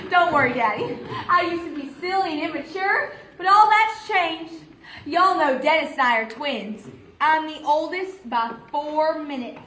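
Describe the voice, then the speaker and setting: A woman's voice: high voice, expressive, measured pace, pushed and bright, reverberant, full character voice. A woman playing a naive, chatty young church girl with an American Southern accent, rambling earnestly in a comic stage monologue. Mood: proudly earnest. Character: giddy, chatty young church girl insisting she has matured.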